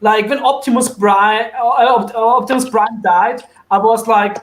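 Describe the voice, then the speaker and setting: A man chatting casually and giving opinions on a podcast panel, halting as he searches for his words. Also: high-pitched, steady tone, roomy sound.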